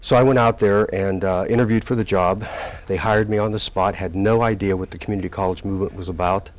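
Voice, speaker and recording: A man in his sixties, a college president, recalls his career in a relaxed interview and talks haltingly, with many fillers and restarts. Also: low voice. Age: 60s. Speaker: community college president, guest.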